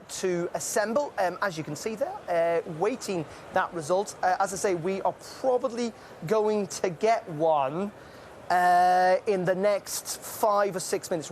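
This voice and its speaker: A man hosts a live news broadcast, speaking unscripted and filling pauses with frequent ums and uhs. He sounds conversational rather than polished, with a faint layer of noise behind him. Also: high voice, darkish tone. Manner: loose, filler-heavy live delivery. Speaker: news presenter.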